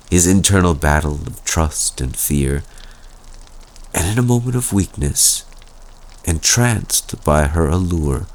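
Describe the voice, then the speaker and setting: Young male campfire storyteller, played by a man: very low voice, sweeping intonation, suspenseful horror delivery for audio roleplay. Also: a little hiss or hum, full character voice, rough recording. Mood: dark, dramatic. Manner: slow, dramatic, suspenseful storytelling. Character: young male student Luke telling a spooky campfire vampire tale.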